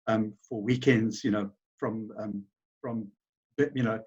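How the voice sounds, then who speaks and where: A man's voice: on the low side, steady tone, takes it slowly, darkish tone, breathy edge, muffled recording. A middle-aged man with a South African accent, telling his story as a guest in an interview, rambling with many fillers and restarts. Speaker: business founder, guest.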